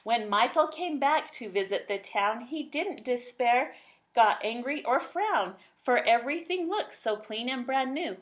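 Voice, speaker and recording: A woman's voice: on the high side, lively intonation, unhurried. A woman reading aloud expressively in the style of a children's storybook.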